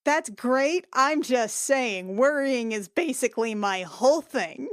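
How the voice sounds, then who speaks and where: An anxious royal adventurer, acted by a woman in a tabletop game, with a slightly high voice. She is dryly sarcastic and easily flustered, and the sound is close and dry. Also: expressive, slow pace, no room sound. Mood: sardonic.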